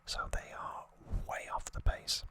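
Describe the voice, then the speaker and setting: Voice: measured pace, pushed and bright. An ASMR host whispering an unscripted, slightly hesitant retelling, close to the microphone. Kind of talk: whispered ASMR trivia recap.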